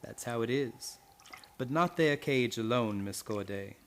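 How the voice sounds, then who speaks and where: An actor playing a bitter old servant in a radio drama, speaking in deliberate, folksy, menacing phrases. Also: male voice, medium pitch, slight background noise, natural voice. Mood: terse, ominous. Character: bitter old servant boatman, gloating with lifelong hatred.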